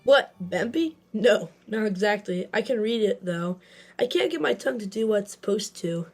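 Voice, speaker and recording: A woman's voice: mid-range voice, expressive, normal speed, a little mellow, slightly rough, faint music behind. A woman playing a modest, brainy child in an audio drama, with precise, bookish phrasing. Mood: curious.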